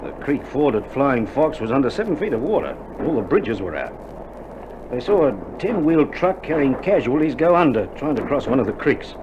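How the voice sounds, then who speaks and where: An actor playing a weary, dry-humoured Australian army doctor in a wartime radio drama, speaking in a colloquial, matter-of-fact way. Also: male voice, medium pitch, very animated, moderate pace, natural voice. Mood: weary, wry. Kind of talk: recorded radio drama acting. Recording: dull vintage vinyl recording, loud noise.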